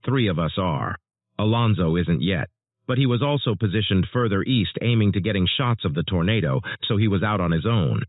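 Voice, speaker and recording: A man's voice: sits low, animated, fairly quick, dry recording. A man playing a sober, earnest storm chaser giving scripted interview answers. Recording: muffled narrowband phone-like audio.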